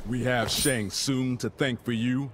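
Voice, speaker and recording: An actor playing a gruff, protective military father in a fighting game, blunt and indignant. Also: mid-range voice, steady tone, unhurried, very noisy, close to an everyday voice. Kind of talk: video game fighting banter.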